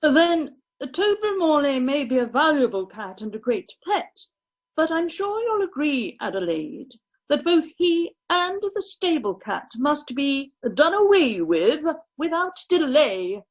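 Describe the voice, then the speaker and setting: A ruthless, haughty lady of high society, acted by a woman in a radio play. Her high voice moves widely in pitch as she makes precise, scornful points. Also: sing-song, measured pace, muffled recording. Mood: firm, cold. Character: haughty, ruthless upper-class English lady guest.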